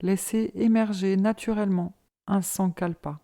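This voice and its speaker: A woman leading a guided yoga relaxation as an instructor, in a calm, measured, soothing manner. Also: medium pitch.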